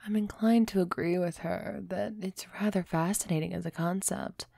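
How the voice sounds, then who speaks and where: A woman playing an aloof, aristocratic vampire who is softening toward a human, in a measured, musing audio roleplay performance. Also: on the low side, steady tone, creaky, dry recording, clean studio sound. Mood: pensive. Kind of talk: scripted audio roleplay acting, close and soft.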